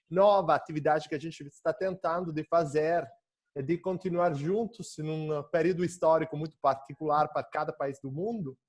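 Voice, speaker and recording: A young man with an Italian accent giving an academic lecture over a video call, often pausing and searching for words. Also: on the high side. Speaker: guest lecturer.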